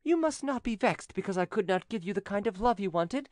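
A male narrator puts on a proud, haughty widow from a storybook. The voice sits high and moves in lively swoops, emphatic and theatrical. She sounds self-satisfied and ready to scold, in a very dry recording. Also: fairly quick, dry recording. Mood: coolly gracious.

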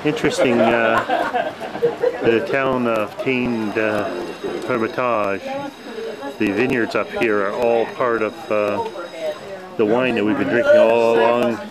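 Male tourist narrating his own camcorder footage, casual and halting, medium pitch, busy crowd around him. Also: fairly even intonation. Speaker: tourist filming a travel video. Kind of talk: casual travel commentary. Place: on and around a tourist steam train. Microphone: camcorder microphone, speaker behind the camera. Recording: amateur camcorder amid loud crowd and train noise. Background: busy crowd, train.

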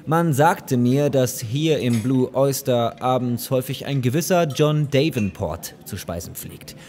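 Guy as a courteous lawyer in a radio play, bit awkward, formal, pitch moves around a lot. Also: expressive, a little slow, darkish tone, soft background music, natural voice. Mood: businesslike. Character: polite, slightly awkward male lawyer seeking advice.

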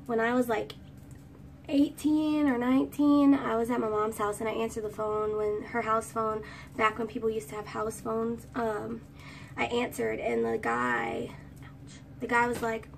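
A young woman in her twenties with a high, girlish voice, chatting and rambling while doing her hair for a vlog, recorded on a phone at arm's length in a small room. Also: measured pace, vocal fry, slight background noise. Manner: rambling, sings snatches of songs. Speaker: vlogger. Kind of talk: chatty get-ready-with-me storytime. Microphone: phone camera at arm's length. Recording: distant phone mic, faint room ambience.

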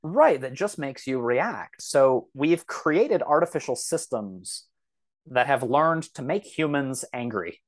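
A man with a medium-pitched voice talks as an interview guest. He is reflective and conversational, pausing mid-thought and adding measured asides as he explains. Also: lively intonation. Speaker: podcast guest, author.

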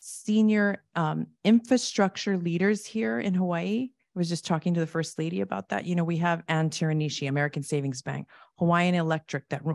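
A woman keynote speaker answering audience questions in a conversational way, thanking the askers and acting out quick asides. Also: low voice, gravelly, dry recording, clean studio sound. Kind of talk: conference Q&A answers. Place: large conference hall with audience.

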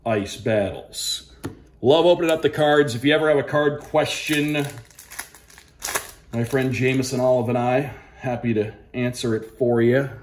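An American man hosting a casual unboxing as a sports broadcaster, announcing names crisply like a play-by-play caller. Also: mid-range voice, a little slow, slight background noise. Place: indoors at a tabletop, small room.

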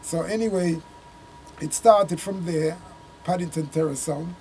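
An older man with a Jamaican accent, a reggae singer telling his story in a relaxed, rambling way in a small studio room. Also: fairly high pitch, slight background noise, poor audio. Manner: unhurried, rambling recollection with Jamaican turns of phrase. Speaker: reggae singer and sound system man, interview guest.

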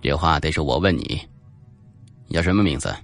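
Hostile male police interrogator, forties, deep, cold, clipped audiobook villainy narrator acting him. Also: moderate pace, faint music behind. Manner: cold, curt, bullying.

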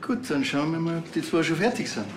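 An actor playing a dry, sardonic village police sergeant with a deadpan Bavarian delivery. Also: male voice, a little high, a little fast, echoey room, noisy background, natural voice. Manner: deadpan, casual.

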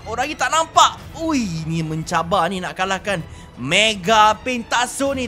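A Malaysian man with a high, bright, forceful voice commentates on a game as roleplay. He is excitable and exclamatory, stretching words out for emphasis, with game music running behind him. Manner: animated, exclaiming, drawn-out shouts. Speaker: gaming video host.

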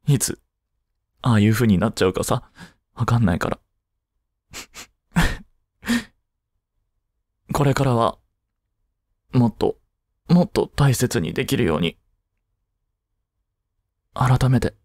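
A man playing an aloof young boyfriend in an intimate voice drama, grumpy but soft-hearted, speaking haltingly close to the mic. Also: mid-range voice, no room sound. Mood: shy, tender. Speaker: amateur voice drama creator and actor.

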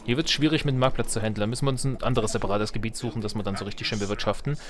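Low-voiced man musing over a game, noisy recording. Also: steady tone, racing. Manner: musing to himself with dry, playful wordplay. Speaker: let's play host. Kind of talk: casual gameplay commentary.

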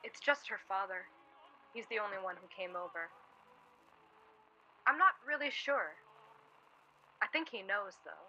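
A woman's voice: mid-range voice, lively intonation, unhurried, pauses often, pushed and bright, dull audio, slight background noise. An actress playing a wry, teasing, affectionate composer in her late twenties in a naturalistic radio play. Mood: subdued.

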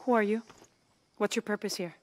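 A woman's voice: medium pitch, steady tone, fairly quick, lightly acted. Woman playing a stern, regal leader. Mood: wary, commanding. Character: stern, regal mother and leader of hidden people. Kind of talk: video game cutscene acting.

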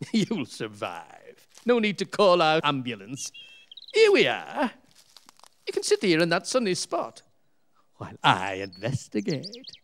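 A man's voice: a little high, huge pitch swings, fairly bright tone, a little hiss or hum, full character voice. An actor as a jovial older man, swooping intonation. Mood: brisk, reassuring. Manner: chuckling, colloquial, unhurried. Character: jovial, nostalgic older husband, curious and dismissive of worries. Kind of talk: radio play acting.

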